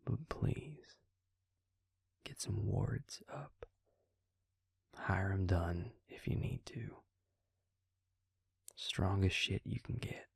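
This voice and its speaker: A man playing a worried, protective, gruff but caring vampire in an intimate audio roleplay, with a breathy, gravelly murmur and a halting, earnest, pleading manner. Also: sits low, no echo, whispered, clean studio sound, full character voice. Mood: exasperated, pleading.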